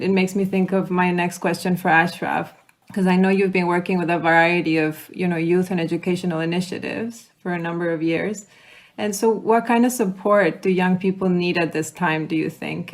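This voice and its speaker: A woman's voice: fairly low pitch, fairly even intonation, normal speed. A woman hosting a podcast, interviewing her guests in a conversational way. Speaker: podcast host.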